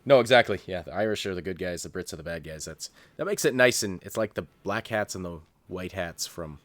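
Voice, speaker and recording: Low-voiced man chatting through a TV review. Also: animated, fairly quick, no room sound. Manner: hesitant, rambling, thinking aloud. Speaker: co-host of a review show. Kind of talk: casual unscripted TV review chat.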